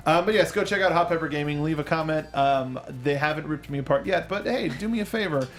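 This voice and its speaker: A man's voice: fairly high pitch, fast, fairly bright tone. A man hosting a film discussion show in a casual, chatty, rambling way with joking asides.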